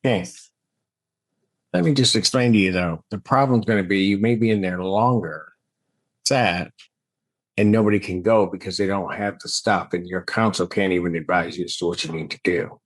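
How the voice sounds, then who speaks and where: A man speaks as a judge in a court hearing. His voice is low, and he explains procedure in plain words. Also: moderate pace.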